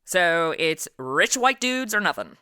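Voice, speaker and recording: A woman's voice: lowish voice, very animated, measured pace, sharp tone, smooth, no echo, studio quality. A woman hosting a podcast in a breezy, slangy, casual interview style. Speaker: podcast host.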